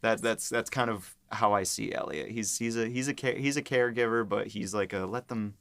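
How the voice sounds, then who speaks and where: A man's voice: on the low side, a little fast, gravelly, a bit bright. A man co-hosting a podcast as a writer and voice actor, chatting casually with many restarts and filler words. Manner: hesitant, lots of "like" and restarts, laughs often. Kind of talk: casual behind-the-scenes chat.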